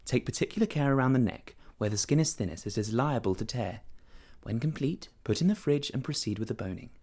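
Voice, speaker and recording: A man's voice: medium pitch, animated, a little fast, a little mellow, pitched above the performer's own voice, lightly acted. A man voicing an exasperated, precise mother in a short story, with a tight, muttering manner. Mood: reciting.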